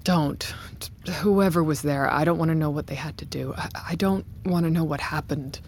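An actress playing a guarded, grieving tech founder in a naturalistic audio drama, hushed, measured and hesitant. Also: female voice, low voice, a little hiss or hum, lightly acted. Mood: guarded, uneasy. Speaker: tech company founder and CEO.

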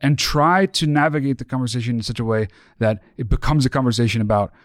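A man's voice: mid-range voice, quick pace, dry recording, studio quality. A man co-hosting a podcast, giving earnest advice with lots of swearing and repetition. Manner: earnest, swears often, repeats himself. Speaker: podcast co-host.

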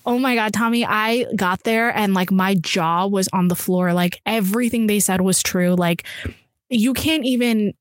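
A young woman from the United States, whose was Urdu, telling a story as a guest in casual chat, lively and full of "like". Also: mid-range voice, measured pace, no echo, studio quality. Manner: animated storytelling, peppered with "like".

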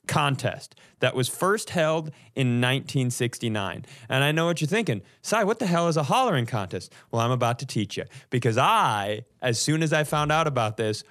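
A man hosting a podcast in casual comedic chat, telling stories in an animated way with mock-serious build-ups. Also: medium pitch, normal speed, few pauses. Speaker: podcast host.

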